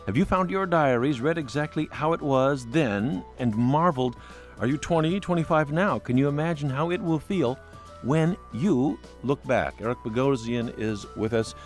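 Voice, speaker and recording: A man hosting a public radio call-in show from the studio, measured when scripted and stumbling on questions. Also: medium pitch, faint music behind. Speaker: radio talk show host. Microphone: studio microphone.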